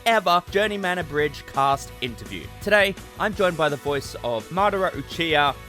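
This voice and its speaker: A man's voice: a little high, lively intonation, moderate pace, sharp tone, faint music behind. An Australian man hosting a casual interview with a guest. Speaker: interview host.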